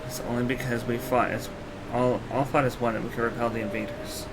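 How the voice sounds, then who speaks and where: A man voicing a measured, courteous young male ruler in a video game, speaking formally and with care. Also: mid-range voice, level tone, heavy background noise, natural voice. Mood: solemn, grateful. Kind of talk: video game dialogue acting. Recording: game music behind the voice.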